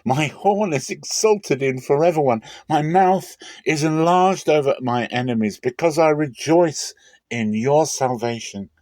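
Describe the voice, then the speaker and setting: A man voicing a rejoicing woman praying in triumph in a dramatic scripture reading, proclaiming with slow stressed phrases. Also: on the high side, darkish tone, no room sound, voice pushed up, close to an everyday voice. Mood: exultant.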